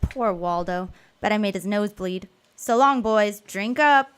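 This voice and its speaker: A man's voice: high voice, a little slow, fairly bright tone, clean tone. An actor playing a taunting, drunken gunman in a bar, in an old-time radio drama style. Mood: menacing, gloating.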